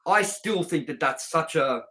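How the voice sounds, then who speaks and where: Emphatic man with a slightly high voice. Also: lively intonation, unhurried, sharp tone. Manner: emphatic, opinionated. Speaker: co-host of a film review show. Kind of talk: casual, sweary film review chat.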